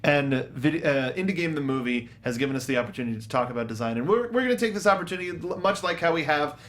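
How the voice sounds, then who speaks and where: A man's voice: fairly high pitch, animated, quick pace, a bit bright. An American man hosting a casual panel chat in a playful, jokey manner. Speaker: show host.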